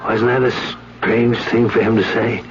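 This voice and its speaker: An actor in a 1970s radio drama playing a somber friend bearing news of a death, halting and subdued. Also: male voice, fairly low pitch, level tone, lightly acted. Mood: puzzled, grave. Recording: dull, noisy old radio recording.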